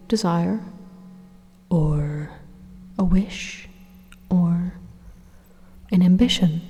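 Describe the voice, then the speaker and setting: A woman playing an evil, persuasive supercomputer in an ASMR roleplay, calmly menacing in a breathy, hushed, deliberate monologue with dramatic pauses. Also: on the low side, full character voice. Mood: measured, persuasive. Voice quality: breathy, whispery. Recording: long hollow reverb on the voice.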